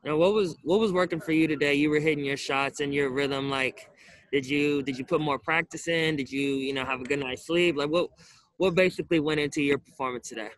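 A man's voice: on the high side, fairly quick, a bit bright, dry recording. A young man working as a sports reporter, asking wordy, conversational questions at a press conference. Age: young adult. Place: indoor arena stands.

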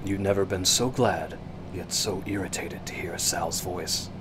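A man narrating a suspense radio drama in the second person, with measured, hushed storytelling. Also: deep voice, slight background noise, poor audio.

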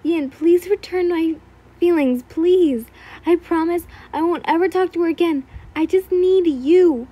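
A woman's voice: extremely high pitch, measured pace, warm tone, pitched above the performer's own voice. A woman voicing a frantic, pleading young man. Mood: frantic muttering. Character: desperate obsessive young man, tearful.

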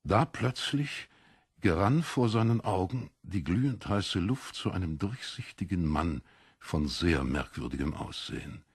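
Deep, husky male storyteller. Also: animated, unhurried, no room sound, clean studio sound. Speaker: narrator. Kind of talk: dramatic literary audiobook narration.

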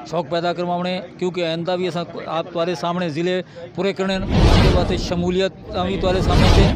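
A man in his forties, a party organiser, giving formal interview remarks into a handheld microphone. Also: on the high side. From Pakistan. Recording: crowd behind, handheld microphone.

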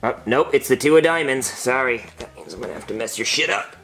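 A man's voice: medium pitch, lively intonation, fast talker, gravelly, fairly bright tone, soft background music. A man playing a sensible, know-it-all schoolboy in a comedy skit, explaining things with slight exasperation. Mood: smug. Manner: pedantic, explaining.

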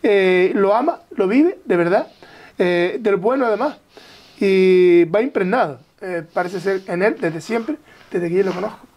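A woman's voice: medium pitch, measured pace, darkish tone. A woman with a mid-range voice hosts an interview. She talks conversationally, building her questions and introductions out of short, broken-up phrases. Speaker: interviewer, show host.

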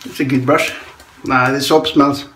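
A man's voice: medium pitch, little pitch movement, a little slow, a bit bright. A man in his forties from Norway with a Norwegian accent, chatting casually in a small bathroom while he shaves, chuckling often between remarks. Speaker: shaving vlogger. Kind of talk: casual chat while shaving. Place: small tiled bathroom indoors. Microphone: camera in front at close range.

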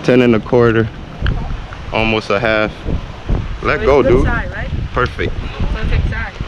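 A man giving excited, chatty running commentary to viewers while fishing from a sea pier, talking into a body-worn camera. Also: medium pitch, takes it slowly, muffled recording, a crowd in the background. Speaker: fishing vlogger. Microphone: body-worn action camera. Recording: loud wind noise on an outdoor camera mic. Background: wind and traffic noise.

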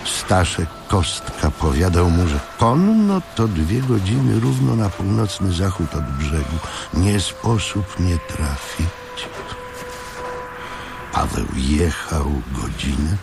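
A man reading scripted literary narration in a measured way, with dramatic pauses. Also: low-pitched, big pitch swings, creaky, background noise. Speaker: audiobook narrator. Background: music.

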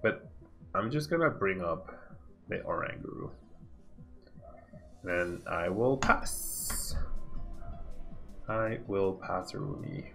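A man's voice: low-pitched, expressive, slow, gravelly, long pauses, bright, forceful tone, soft background music. A man of thirty-one doing casual, slangy livestream game commentary, laid-back and often repeating his phrases. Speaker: game streamer.

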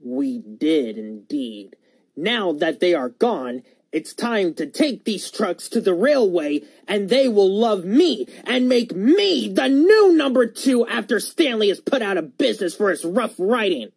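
A woman voicing a sly, smug villain steam engine in a game, gloating and ambitious, with drawn-out delivery. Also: mid-range voice, very animated, darkish tone, slightly rough, no room sound, exaggerated voice, low-quality recording.

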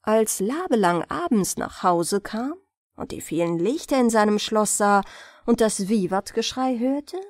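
Slow, expressive female storyteller. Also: mid-range voice, no echo, clean studio sound. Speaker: audiobook narrator of fairy tales. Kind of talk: expressive bedtime storytelling narration.

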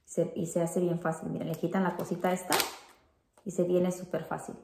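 A woman's voice: on the low side, level tone, a little fast, vocal fry, noisy background. A woman chatting casually at home as she shows her home decorating, using lots of diminutives and fillers. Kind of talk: casual how-to chat. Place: at home indoors.

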